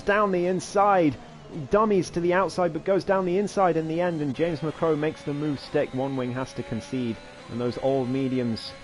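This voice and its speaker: A hoarse man giving live commentary on an online racing league, his voice climbing excitedly through each overtake. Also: mid-range voice. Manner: rising excitedly during overtakes, chatty asides. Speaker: race commentator.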